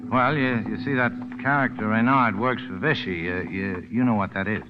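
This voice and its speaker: An actor playing a tough, cynical American charter boat captain in 1940s radio drama, curt and wry. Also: male voice, fairly low pitch, normal speed, lightly acted. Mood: casual, guarded. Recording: muffled, noisy old radio broadcast.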